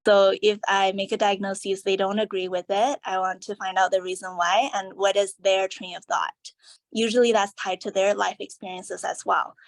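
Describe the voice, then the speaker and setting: A woman family doctor speaks on a webinar panel in a steady, even voice. She explains things calmly and at a measured pace. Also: medium pitch, low-quality recording.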